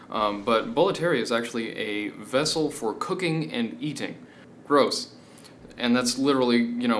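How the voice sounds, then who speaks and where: A man hosting a casual explanatory commentary, hesitant as he goes and often checking in with the listener. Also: on the low side. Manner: hesitant, checking in with "right?" and "okay?". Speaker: video essay host. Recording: steady background noise.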